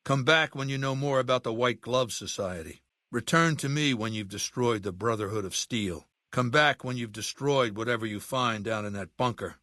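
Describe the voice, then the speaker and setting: A man playing an aging, imperious warlord dictator in a video game, with a measured, commanding and menacing manner. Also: mid-range voice, pushed and bright, no room sound, studio quality, lightly acted. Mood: cold, commanding. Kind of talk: video game character dialogue.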